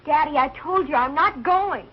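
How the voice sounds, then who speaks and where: A young woman playing a sensitive, proud, easily embarrassed American high-school girl in a 1940s television sitcom. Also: normal speed, natural voice. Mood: hurt, defensive. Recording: dull, hissy old television soundtrack.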